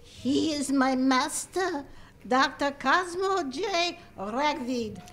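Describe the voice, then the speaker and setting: A woman's voice: high voice, animated, takes it slowly, a bit bright, full character voice. A woman voicing a servile, formal female lab assistant who calls her boss master.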